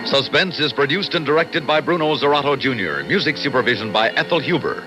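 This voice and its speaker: A male radio announcer in a vintage style, formal and deliberate. His mid-pitched voice moves dramatically up and down over clear background music. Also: expressive, lo-fi sound. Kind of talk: scripted old-time radio announcing.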